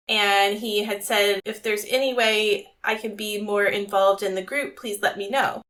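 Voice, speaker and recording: A woman's voice: mid-range voice, pushed and bright, poor audio. A woman co-hosting a podcast, talking in a friendly, conversational way. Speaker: podcast co-host. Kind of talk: podcast interview.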